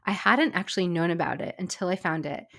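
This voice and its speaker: A woman's voice: on the low side, lively intonation, fairly bright tone. A middle-aged woman, a former personal chef, co-hosting a casual cooking podcast, chatty and enthusiastic, slightly stuffy from a cold. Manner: chatty, enthusiastic, quick asides. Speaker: podcast co-host. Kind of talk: casual chat.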